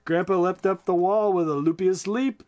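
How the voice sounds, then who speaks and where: A man with an American accent reading to children in an expressive, playful way, with casual asides. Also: sits high, moderate pace. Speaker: storytime reader.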